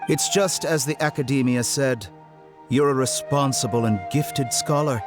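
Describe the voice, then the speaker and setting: A man's voice: mid-range voice, lightly acted. An actor playing an arrogant male doctor and scholar in a video game, mock-polite and condescending, drawing out his words for menace. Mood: veiled menace. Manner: theatrical, mock-polite, drawn-out emphasis. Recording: music behind the voice.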